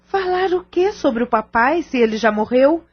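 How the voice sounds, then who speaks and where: Actress as sensible high-voiced Brazilian aunt, Leda. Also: female voice, lively intonation, moderate pace, highs cut off. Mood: concerned, curious. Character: Leda, sensible, composed sister-in-law and aunt. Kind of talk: audio-novel acting, formal scripted dialogue.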